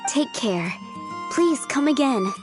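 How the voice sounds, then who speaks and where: A woman voicing a gentle, gracious young woman in a fantasy video game, polite and kindly. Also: high-pitched, expressive, takes it slowly. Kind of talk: video game character dialogue. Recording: game music behind voice.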